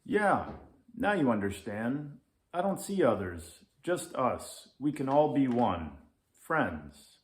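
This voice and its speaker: A man's voice: mid-range voice, sing-song, slow pace, pitched above the performer's own voice. A man voicing an innocent, friendly and curious little boy in a children's storybook. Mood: happy, sure. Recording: echoey room.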